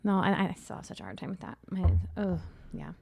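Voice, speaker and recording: Gravelly-voiced woman podcaster in her thirties. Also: on the low side, big pitch swings, a little fast, a little mellow, dry recording. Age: 30s. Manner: laughs often, chatty and candid. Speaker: podcast co-host. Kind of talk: casual podcast chat.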